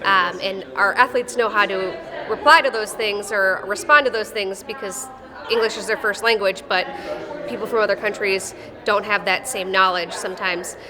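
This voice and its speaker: A woman's voice: medium pitch, steady tone, normal speed. A woman in her thirties with a Midwestern accent and a slight Texas drawl speaks in a friendly, matter-of-fact way. Her voice carries a long arena echo. Crowd chatter fills the background. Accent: Midwestern American with a slight Texas drawl. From Wisconsin, USA. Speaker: wrestling official. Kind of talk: casual interview answers. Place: wrestling tournament arena. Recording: echoey arena with crowd noise.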